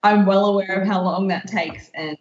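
A woman's voice: medium pitch, steady tone, moderate pace, darkish tone, a little hiss or hum. A woman co-hosting a casual podcast conversation, on a dull lo-fi recording. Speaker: podcast co-host. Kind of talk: casual chat. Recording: dull lo-fi audio.

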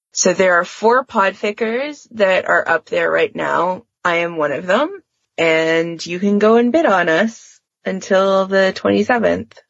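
A woman's voice: lowish voice, gravelly, no echo, highs cut off. A woman co-hosting a fan podcast, chatting in an informal, easygoing way. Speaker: podcast host. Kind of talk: casual fandom podcast chat.

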